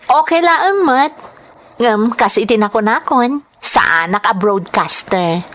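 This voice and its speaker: A woman with a Filipino accent acting an overworked, grateful young migrant worker in an audio drama, emotive and softly deferential. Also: on the high side, animated, a little slow. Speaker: drama actress.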